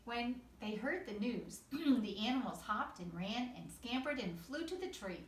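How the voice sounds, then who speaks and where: A woman's voice: on the high side, lively intonation, unhurried. A woman teacher reading a children's storybook aloud with expression. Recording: echoey room, distant mic, faint noise.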